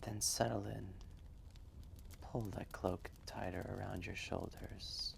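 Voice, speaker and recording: A man voicing an ancient, gentle, wistful dragon telling a fireside roleplay story in a soft, breathy, measured and gravelly voice. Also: low-pitched, fairly even intonation, noisy background, heavily acted. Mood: soothing, protective. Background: quiet fireplace ambience.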